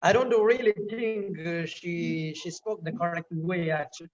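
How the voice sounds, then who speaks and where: A man's voice: fairly high pitch, steady tone, unhurried, muffled recording. Hesitant young man on a call. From Kerala, India. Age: 20s. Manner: hesitant, full of fillers and restarts. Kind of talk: casual chat.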